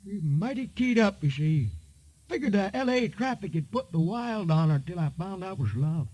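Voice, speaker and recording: An actor playing a folksy, sly old car lot attendant in a radio drama, with a husky voice and a drawling rural American accent. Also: male voice, high-pitched, animated, normal speed, a little mellow. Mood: sly, amused. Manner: drawling, homespun, chatty. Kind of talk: 1950s radio drama acting. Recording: muffled vintage radio recording.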